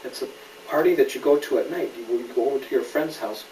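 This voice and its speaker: A man's voice: mid-range voice, quick pace, darkish tone. Man reading a picture book, roomy distant recording. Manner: explains patiently, asks the child questions. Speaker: father reading a story to a child. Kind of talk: read-aloud picture book storytelling with casual asides. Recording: roomy, distant mic, faint background noise.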